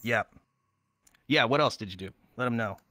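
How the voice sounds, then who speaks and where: Easygoing man with a bright voice. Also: mid-range voice, moderate pace, no echo. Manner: easygoing, says "dude". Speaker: guest musician. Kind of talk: casual chat.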